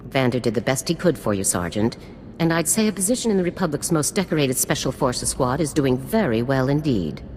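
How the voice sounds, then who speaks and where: A stern female military general from a video game, with a very low voice that moves expressively. She gives orders with formal, clipped authority in a space with long reverb. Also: lively intonation, fairly quick, darkish tone. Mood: stern, measured. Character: stern, commanding female special forces general. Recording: long reverb with faint noise.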